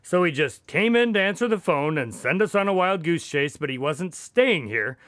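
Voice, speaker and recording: Affable, wry male doctor sidekick, played by an actor: higher-pitched, very expressive, chatty radio-drama storyteller. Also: moderate pace, no room sound, clean studio sound. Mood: wry, puzzled. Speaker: narrator-character of a radio drama. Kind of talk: scripted radio drama narration and dialogue.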